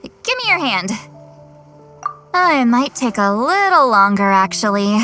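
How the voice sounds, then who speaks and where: A woman playing an awkward, aloof shaman in a video game, centuries old but young-sounding, speaking haltingly with sighs. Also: a little high, big pitch swings, sharp tone, full character voice. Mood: flustered. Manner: halting, awkward, prone to sighing. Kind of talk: acted video game dialogue. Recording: music behind the voice.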